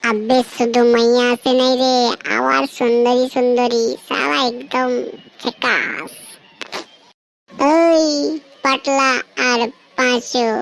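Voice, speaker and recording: Someone voicing a high-voiced cartoon schoolboy, noisy recording. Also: a bit bright. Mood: excited. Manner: slangy, complaining banter. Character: frustrated, girl-hungry male schoolboy sidekick. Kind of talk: comedy cartoon dialogue.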